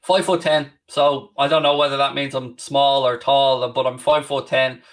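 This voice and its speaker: A man's voice: medium pitch, little pitch movement, moderate pace, distant mic. A man in his late thirties with a Dublin Irish accent, hosting a podcast and answering questions candidly in a rambling, self-deprecating way. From Ireland. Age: late 30s. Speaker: podcast host. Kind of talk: casual chat.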